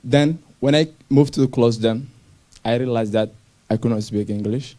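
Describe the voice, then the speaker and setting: A young man from Somalia telling his personal story to an audience in simple, halting English with restarts. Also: mid-range voice, mellow. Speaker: speaker sharing his refugee story. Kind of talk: personal story talk on stage.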